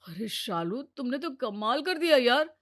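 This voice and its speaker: A woman's voice: sits high, big pitch swings, measured pace, no room sound, clean studio sound. A woman voicing a fed-up young husband in a cartoon story, complaining sarcastically. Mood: sarcastic, annoyed. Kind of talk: Hindi moral-story cartoon acting.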